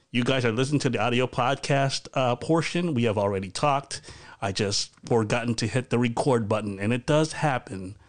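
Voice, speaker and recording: Chatty man hosting a podcast. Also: medium pitch. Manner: chatty, rambling with many fillers and restarts. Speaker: podcast host. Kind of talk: casual chat on a live video podcast. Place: bedroom at home. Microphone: video call.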